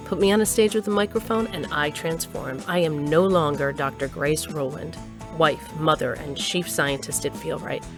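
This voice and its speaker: An actress playing a nostalgic woman scientist who narrates an audio drama in a dramatic first-person storytelling manner. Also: low voice. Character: woman scientist Grace, wife and mother, nostalgic ex-rocker.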